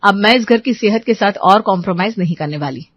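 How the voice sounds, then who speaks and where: A woman's voice: medium pitch, quick pace, no echo, lo-fi sound, lightly acted. A woman voicing a strict, fed-up young daughter-in-law, firm and lecturing, in a family story.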